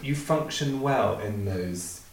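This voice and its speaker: A man's voice: fairly low pitch, animated, takes it slowly, vocal fry, crowd noise, low-quality recording. A man co-hosting a podcast in casual chat, teasing with a dry sense of humour. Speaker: podcast co-host.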